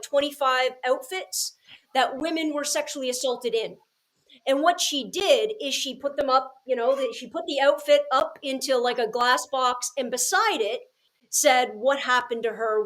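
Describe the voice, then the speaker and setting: A woman hosting a podcast, speaking casually and reflectively in an earnest, emphatic way. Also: high voice. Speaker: podcast host, educator.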